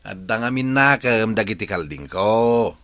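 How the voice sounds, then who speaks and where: A man's voice: fairly low pitch, expressive, a little slow, a bit bright. A man with an Ilocano accent playing a stubborn, bantering village goat keeper in a comedy radio drama. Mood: defensive. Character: stubborn, argumentative village man who keeps goats.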